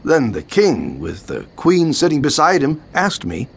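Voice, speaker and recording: A man's voice: on the high side, huge pitch swings, unhurried, gravelly, slightly rough, heavily acted. A man playing Nehemiah, narrating in the first person with earnest, declamatory delivery in a dramatized Bible.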